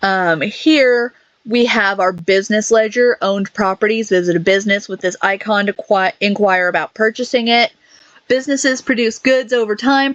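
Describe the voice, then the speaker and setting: A woman giving casual gameplay commentary, reading game menus aloud in a halting way with fillers and restarts. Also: mid-range voice, fairly bright tone, no room sound, muffled recording. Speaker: gaming YouTuber.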